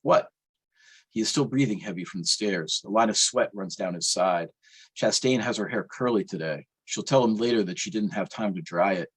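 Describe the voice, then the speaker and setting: A man with a lowish, rather raspy voice reads literary fiction aloud. He sounds like an author at a reading, narrating his own prose with a wry edge. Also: low-quality recording.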